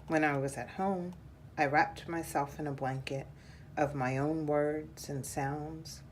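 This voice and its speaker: A woman reading a children's picture book aloud in a measured, deliberate storytelling style. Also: low voice, steady tone, slight background noise.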